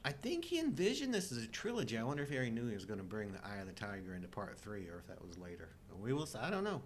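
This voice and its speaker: Middle-aged male co-host, animated intonation, easygoing film chat, slight noise behind. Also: on the low side, very fast. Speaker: co-host of a live show. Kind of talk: casual chat about films. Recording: faint background noise.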